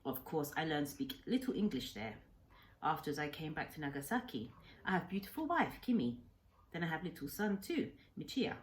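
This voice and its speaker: Kindly old Japanese castaway doctor played by a woman reader, halting emphatic phrases, swooping intonation, storybook telling. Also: deep voice, sing-song. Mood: proud, fond. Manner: halting simplified English, earnest emphatic short sentences.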